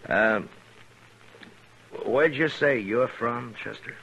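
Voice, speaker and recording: An actor playing a calm, wry American frontier marshal, unhurried and authoritative, in a 1950s radio western. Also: male voice, medium pitch, creaky. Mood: easygoing, wry. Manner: unhurried, dry, easygoing. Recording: dull, noisy old radio recording.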